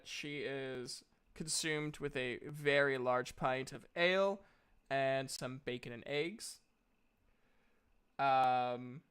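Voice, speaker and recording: Bright, slow-paced game master. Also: medium pitch, clear, resonant tone. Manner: halting, conversational. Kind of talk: improvised tabletop game narration.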